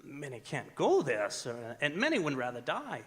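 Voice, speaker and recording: An actor playing a courteous, earnest Victorian gentleman in a radio drama, speaking formally and persuasively. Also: a little high, wildly swooping pitch, moderate pace. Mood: earnest, taken aback. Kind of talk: stage radio drama acting. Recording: reverberant theatre hall recording.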